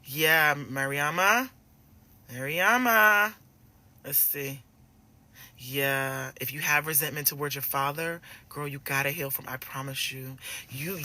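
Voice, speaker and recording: A woman's voice: deep voice, bright, forceful tone. A woman in her forties speaking as a relationship coach in a casual motivational live chat, emphatic and direct, repeating phrases to drive her points home. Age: 40s. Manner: direct, familiar address to listeners. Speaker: relationship coach addressing women.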